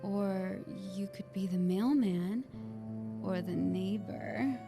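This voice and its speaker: An unhinged, seductive woman with a mid-pitched, languid delivery. She drags her words out coyly and teasingly in this game scene, with music behind. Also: slow pace, vocal fry. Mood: flirty, teasing.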